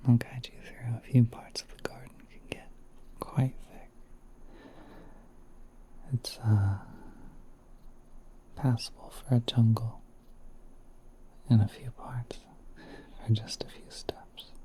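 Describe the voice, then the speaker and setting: Slow, whispery, gentle spider prince played by a man. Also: medium pitch, fairly even intonation, close to an everyday voice, poor audio. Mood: gentle, charmed. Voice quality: soft, breathy, half-whispered. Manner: hesitant, unhurried, gently courteous. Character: gentle, courteous spider prince soothing a guest in his garden. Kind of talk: ASMR roleplay, soft intimate monologue.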